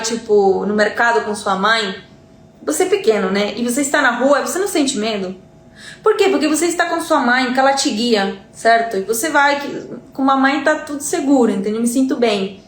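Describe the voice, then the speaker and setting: A Uruguayan woman whose first language is Spanish gives an earnest live-stream lesson, stumbling over repeated words and checking in with her audience. Her voice sits on the higher side. The room around her echoes. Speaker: Spanish teacher.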